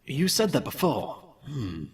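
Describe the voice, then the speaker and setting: A man's voice: medium pitch, big pitch swings, a little slow, husky, distant mic, exaggerated voice. A man playing an obsessive villainous candy maker in an audio drama, dictating experiment notes with clinical, theatrical self-satisfaction. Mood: coaxing.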